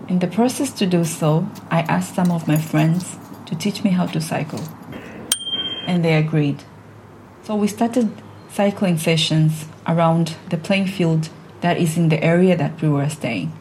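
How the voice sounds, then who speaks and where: A woman, telling a personal story in an earnest, measured way. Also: lowish voice, mellow, a little hiss or hum, low-quality recording. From Tanzania.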